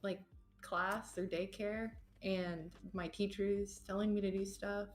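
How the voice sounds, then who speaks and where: A woman's voice: medium pitch, flat delivery, takes it slowly, over loud music. A woman from Texas with a slight lisp, telling rambling stories in a casual chat and correcting herself as she goes. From Texas, United States. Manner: rambling, self-correcting storyteller. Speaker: guest.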